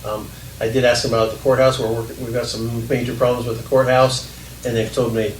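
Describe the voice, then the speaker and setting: A man's voice: mid-range voice, flat delivery, a little fast. A county commissioner talking at a council meeting, hesitant and often restarting his sentences. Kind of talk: public meeting discussion. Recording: echoey hall, distant mic, hiss.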